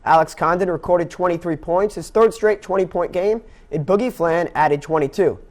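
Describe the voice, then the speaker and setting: High-pitched young male sports anchor. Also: normal speed. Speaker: sports anchor and play-by-play announcer. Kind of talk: scripted sports newscast and baseball commentary.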